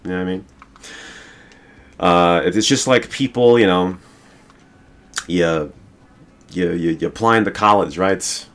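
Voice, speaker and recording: Deep-voiced man ranting profanely. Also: a little slow, long pauses, fairly bright tone. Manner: rambling, profane, emphatic. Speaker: host. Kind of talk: casual podcast rant.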